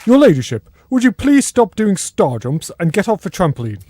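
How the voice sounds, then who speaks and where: Mellow, highish polite fireman. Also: sing-song, normal speed, some chatter behind, a little hiss or hum. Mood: patiently firm. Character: polite, patient male fireman. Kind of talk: radio comedy acting.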